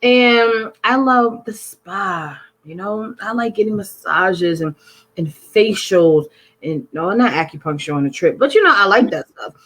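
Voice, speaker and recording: A woman's voice: lively intonation, measured pace, fairly bright tone. A woman chatting casually, playful and emphatic, with big swings in her voice. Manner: emphatic and playful, swinging up high on quoted lines. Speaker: guest in conversation. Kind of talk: casual chat.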